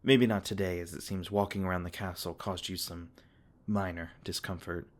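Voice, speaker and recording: A man playing a deep, gentle fae king. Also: little pitch movement, moderate pace, natural voice. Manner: soft-spoken, reassuring, with small laughs. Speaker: ASMR roleplay performer. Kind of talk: ASMR comfort roleplay.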